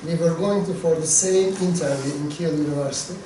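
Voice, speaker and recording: A man in his fifties with a Turkish accent, introducing a guest at a seminar in a measured, personal way. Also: on the high side. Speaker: seminar host. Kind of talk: introducing a speaker. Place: seminar room. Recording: echoey seminar room, distant mic, background noise.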